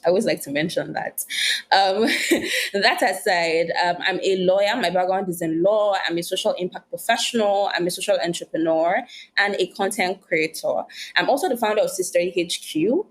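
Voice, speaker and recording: A woman's voice: lowish voice, normal speed. A young woman from Nigeria, answering interview questions in an articulate way and laughing easily. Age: young adult. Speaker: podcast guest.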